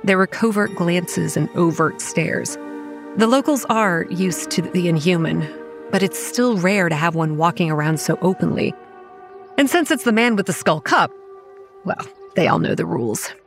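A woman's voice: lowish voice, moderate pace, creaky, music in the background. Wry young woman narrating horror. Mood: anxious, wry. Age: young adult. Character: Kate, wry, anxious young woman running a campground. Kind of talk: scripted first-person horror narration.

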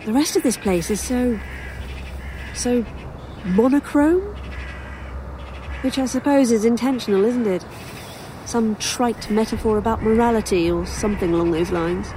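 A woman's voice: fairly high pitch, a little slow, pauses often, noisy background, close to an everyday voice. A woman playing a defiant, weary dead writer in an audio horror drama, with a British accent and a dry, wry delivery. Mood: unimpressed, dry.

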